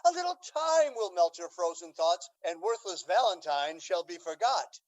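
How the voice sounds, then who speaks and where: A woman's voice: on the low side, big pitch swings, normal speed, rough recording. A woman playing a scheming, pompous older Duke in a stately, theatrical Shakespearean radio drama. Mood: stately, confiding.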